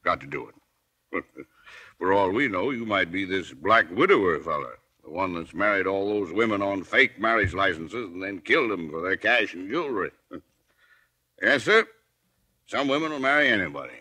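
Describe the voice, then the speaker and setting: A man's voice: low voice, lively intonation, normal speed, sharp tone, husky, exaggerated voice. An actor playing a jovial, teasing male boss with a hearty, blustery manner in a 1940s radio drama.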